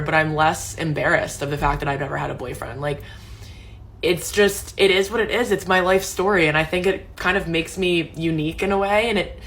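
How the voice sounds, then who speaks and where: A woman's voice: sits low, a bit bright, slight background noise. A woman in her twenties speaking reflectively and a little hesitantly about her own life. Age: 20s. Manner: reflective, hesitant, many "like" and "whatever". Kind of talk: personal testimonial video.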